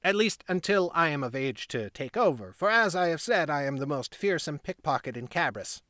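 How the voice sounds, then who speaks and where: A man voicing a cocky young street pickpocket boy who boasts loudly. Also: animated, moderate pace, no room sound, clean studio sound. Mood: boastful. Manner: boastful, high childish bluster.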